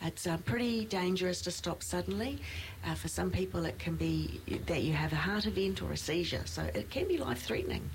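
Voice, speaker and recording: A woman's voice: lowish voice, fairly quick, slight background noise. A middle-aged woman who is a registered nurse, co-hosting a podcast and explaining things in a casual chat that often breaks into laughter.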